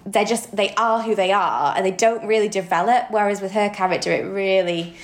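A woman's voice: medium pitch, fairly quick, echoey room. A woman co-hosting a podcast in casual chat, speaking in an effusive, emphatic and informal way. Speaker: podcast co-host.

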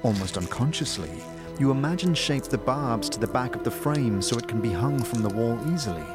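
A man's voice: mid-range voice, lively intonation, brisk, over music. A man narrating a fantasy audio drama in the second person, telling the story scene by scene.

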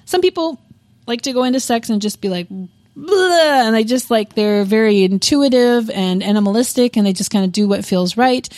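A woman's voice: a little high, normal speed, darkish tone, no echo, studio quality. A woman in her thirties, a podcast guest talking candidly and profanely, with lots of fillers and restarts. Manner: frank and profane, with frequent fillers. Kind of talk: candid casual interview chat.

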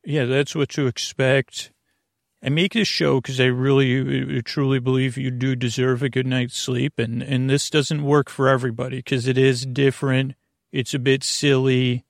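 A man's voice: medium pitch, flat delivery, normal speed, vocal fry, no room sound, clean studio sound. A man hosting a bedtime podcast in a meandering, hesitant, slightly goofy monologue that keeps circling back on itself. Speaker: podcast host.

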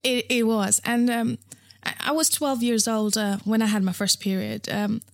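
A woman's voice: a little high, fairly even intonation, normal speed, dry recording, studio quality. A woman from Nepal, speaking as an interview guest in a frank, chatty way with many fillers and restarts. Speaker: interview guest, founder of a period underwear brand. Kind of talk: casual interview conversation.

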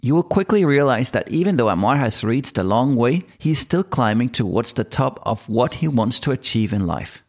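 A man hosting an interview show, speaking in a measured way and giving a motivational introduction. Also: medium pitch, sing-song. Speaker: podcast host.

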